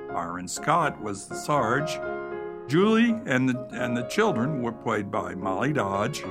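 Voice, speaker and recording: Animated male radio announcer over music. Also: mid-range voice. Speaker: radio theater announcer and company president.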